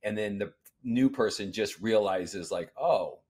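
A middle-aged man co-hosting a casual podcast, talking in an easygoing, relaxed way. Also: lowish voice, unhurried, breathy edge. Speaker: podcast co-host. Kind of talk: casual chat. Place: home studio. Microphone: studio mic on boom arm.

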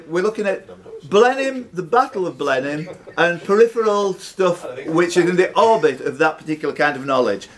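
A man in his fifties hosting a live pub quiz, reading out questions with jokey, teasing banter. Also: a little high. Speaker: quiz master. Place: social club bar room.

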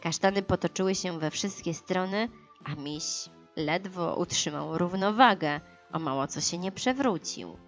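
A woman's voice: lowish voice, dull audio, soft background music. A woman narrating a scripted children's audiobook, telling the story expressively and without hurry. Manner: expressive, unhurried, dramatized for children.

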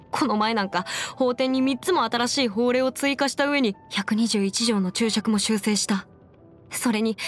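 A woman voicing a confident, brisk young half-immortal lawyer in an anime game, with crisp, formal phrasing and music behind her. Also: medium pitch, close to an everyday voice. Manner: crisp, self-assured, formal mannish phrasing. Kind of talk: scripted anime game character voice lines. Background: background music.

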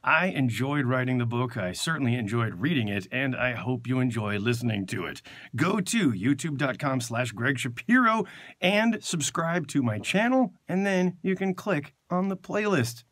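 A man's voice: mid-range voice, moderate pace, dry recording. Wry American man reading his own book. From United States. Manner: wry, lively storytelling with comic emphasis. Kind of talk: comic audiobook narration. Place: small home studio. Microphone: studio condenser mic with headphones. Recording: dry studio sound.

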